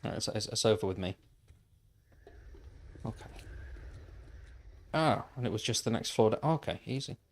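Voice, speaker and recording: A man's voice: on the low side, expressive, moderate pace, gravelly, long pauses. Man musing aloud while gaming. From Wales. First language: Welsh. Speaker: gaming livestreamer. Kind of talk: casual chat while playing.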